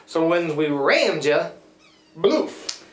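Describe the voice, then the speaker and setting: A man's voice: a little high, sing-song, extremely slow, full character voice. An actor playing a scrappy, cheeky boatman in a live radio play, talking in a folksy, stammering, colloquial way. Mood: rambling, sly. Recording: roomy live stage recording.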